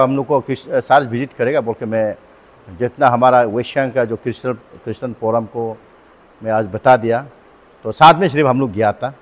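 Halting Arunachali man, mid-pitched voice. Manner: halting, mixes languages mid-sentence. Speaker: local council member. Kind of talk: press statement. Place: open-sided covered community hall. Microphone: lapel microphone.

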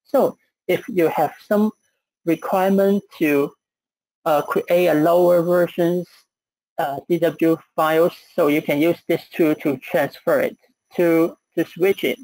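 A man with a Chinese accent presenting software in a webinar, hesitant with frequent fillers. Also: a little high, steady tone, measured pace, dry recording, poor audio.

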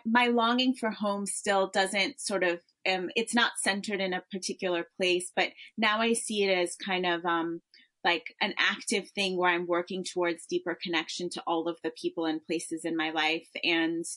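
A woman's voice: medium pitch, a bit bright. A woman in her thirties with an American accent, an author answering interview questions thoughtfully, with frequent fillers and restarts. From born in Tanzania, raised in Europe and Africa. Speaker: memoir author guest.

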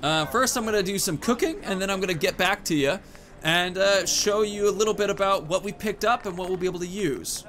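A man's voice: high voice, brisk, fairly bright tone, a little hiss or hum. A man in his thirties giving casual let's play commentary, thinking aloud as he explains his choices. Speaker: gaming video host. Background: game music.

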